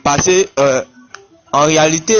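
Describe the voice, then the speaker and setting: A man's voice: fairly high pitch, moderate pace. A man on a live panel who speaks hesitantly, with fillers. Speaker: panel participant. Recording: lo-fi call with faint music.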